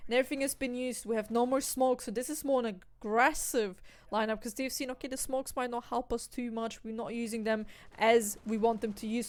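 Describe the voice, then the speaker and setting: A young woman from Austria, commentating an esports match solo, breaking down tactics and lifting into excited calls on the kills. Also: on the high side. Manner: analytical, rising to excited bursts on kills. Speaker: esports caster.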